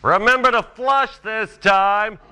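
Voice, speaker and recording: An actor playing a smarmy, tongue-in-cheek TV race show host in a satirical video game. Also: male voice, high-pitched, takes it slowly, pushed and bright, smooth, heavily acted. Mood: smug, showy. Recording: noisy mix with crowd behind.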